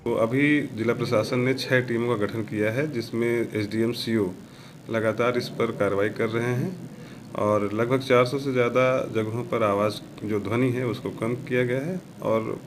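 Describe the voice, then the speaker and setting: A man's voice: medium pitch, steady tone, normal speed. A man, an official, giving a formal statement to the press. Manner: formal bureaucratic wording. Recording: noisy surroundings.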